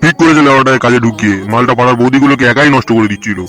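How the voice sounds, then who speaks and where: A man's voice: mid-range voice, rapid-fire, over music, natural voice. A man voicing a foul-mouthed young neighbourhood club boss in a comedy cartoon, taunting and dismissive. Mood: teasing.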